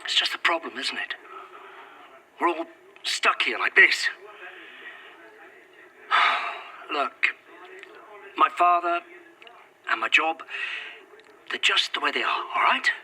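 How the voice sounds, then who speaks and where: A man's voice: fairly high pitch, expressive, slow pace, long pauses, bright, forceful tone, slight background noise, full character voice, low-quality recording. An actor voicing a bitter, sardonic British man in an audio drama, with theatrical, sweary delivery on a recorder. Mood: exasperated, weary. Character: bitter, sardonic British man documenting his nightmare journey.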